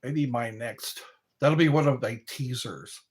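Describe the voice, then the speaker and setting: A man's voice: medium pitch, a little slow. A middle-aged man hosting a live stream, rambling in casual chat, reacting to viewers and laughing at his own jokes.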